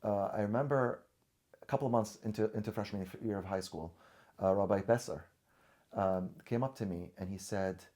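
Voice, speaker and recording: Mellow-voiced man telling his story. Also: lowish voice, moderate pace. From Syria. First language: Arabic. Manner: earnest, with frequent restarts and repeated words. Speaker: podcast guest. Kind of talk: personal storytelling in conversation.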